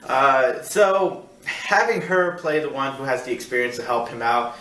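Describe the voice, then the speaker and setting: A man's voice: medium pitch, expressive, pushed and bright. Man in echoey room, rambling film review. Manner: rambling, repeats himself, halting search for words. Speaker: film review show host. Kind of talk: casual film review monologue. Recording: echoey room, distant mic.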